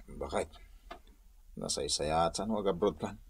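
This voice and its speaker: Husky, boastful Filipino village husband. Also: male voice, low voice, unhurried, no room sound, studio quality, full character voice. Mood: grumbling. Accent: Northern Luzon Filipino. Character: grumbling, boastful husband, comic village farmer. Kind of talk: radio comedy drama acting.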